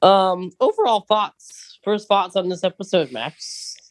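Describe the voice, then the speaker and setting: A man's voice: high-pitched, animated, unhurried. A man co-hosting a podcast, talking casually and eagerly as a big fan, with plenty of ums. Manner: enthusiastic fan, talkative, many fillers. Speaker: podcast co-host.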